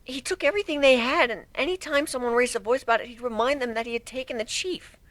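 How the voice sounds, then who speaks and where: A man's voice: extremely high pitch, fast talker, fairly bright tone, dry recording, voice pushed up. A man voicing a young female griffin on trial in a dramatic story reading, timid and defensive, with a hesitant, stammering delivery. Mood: subdued, explaining. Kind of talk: dramatic fan fiction reading.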